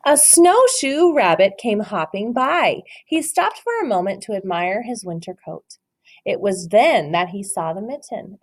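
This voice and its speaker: A woman reads a picture book to young children in a very lively, sing-song voice that swoops up and down. Her pitch sits in a middling range. She keeps stopping to ask encouraging questions about the pictures. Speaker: teacher reading aloud. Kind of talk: children's read-aloud.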